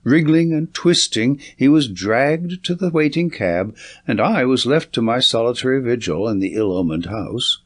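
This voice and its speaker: A man's voice: mid-range voice, expressive, moderate pace. A man reading literary fiction as a scripted audiobook narration.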